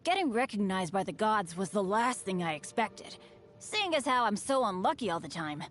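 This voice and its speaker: A woman's voice: medium pitch, sing-song, moderate pace, soft background music, lightly acted. A woman voicing an upbeat but unlucky teenage boy adventurer in a video game, with an earnest, chatty, boyish delivery. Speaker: game character voice actor. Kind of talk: scripted game character voice lines.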